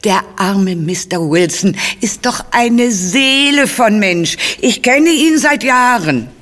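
An actress playing a kindly, doting, gossipy old cook in a radio play. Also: female voice, medium pitch, lively intonation, slow, fairly bright tone. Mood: sorrowful, defensive. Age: older. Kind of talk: German radio play acting.

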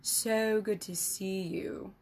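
A woman voicing a smug male imperial emissary who talks slow and oily smooth. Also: medium pitch, fairly even intonation, darkish tone.

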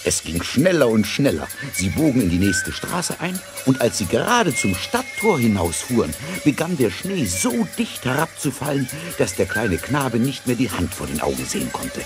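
A man narrating a scripted fairy tale in a dramatic, deliberate storytelling manner. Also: medium pitch, very animated, music in the background.

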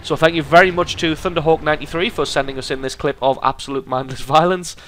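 A man with a cold hosting a gaming channel, commenting on a tank game replay in an amused, lively way. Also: fairly high pitch, fast, a little hiss or hum. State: has a cold.

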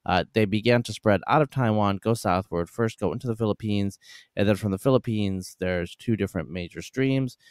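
Low-voiced male podcast host, smooth. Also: a little fast, no room sound, studio quality. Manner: hesitant, many fillers and restarts. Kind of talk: casual interview.

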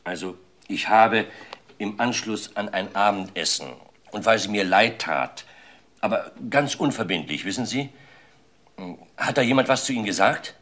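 A man's voice: on the low side, normal speed, a bit bright. An actor playing a self-important male boss who blusters evasively under police questioning in a German crime radio play. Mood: defensive.

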